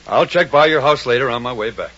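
Actor as husky, folksy small-town policeman. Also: medium pitch, quick pace, a bit bright, natural voice. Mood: concerned, friendly. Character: easygoing, protective small-town policeman on night patrol. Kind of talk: vintage radio drama acting. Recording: muffled old radio recording.

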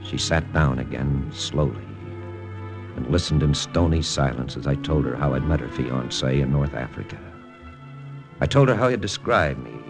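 An actor playing a cool, persuasive American wartime lieutenant with an American accent, telling his story in hardboiled 1940s radio drama style. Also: extremely low pitch. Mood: somber, reflective. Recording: old radio broadcast with music.

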